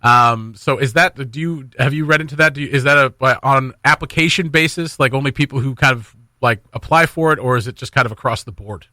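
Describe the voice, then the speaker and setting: A man's voice: medium pitch, a little fast, few pauses, sharp tone, dry recording, studio quality. A Canadian man hosting a casual morning radio chat in a jokey, conversational way, often repeating phrases for emphasis. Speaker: radio host. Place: radio studio. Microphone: close studio microphone.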